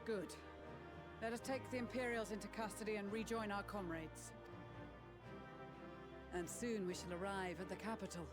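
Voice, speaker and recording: An actor playing a resolute battlefield commander with a formal, clipped way of speaking. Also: male voice, high-pitched, fairly even intonation, normal speed, pauses often, natural voice. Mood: brisk, resolute. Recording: loud game music behind the voice.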